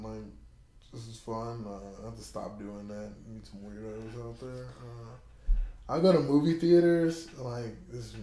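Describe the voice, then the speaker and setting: A man's voice: on the low side, slight background noise. A young man, a fighter guest on a podcast, telling loose, rambling stories and poking fun at himself. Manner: relaxed, rambling storyteller who jokes about himself. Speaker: podcast guest, mixed martial arts fighter. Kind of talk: casual podcast chat. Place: podcast studio. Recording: roomy studio echo.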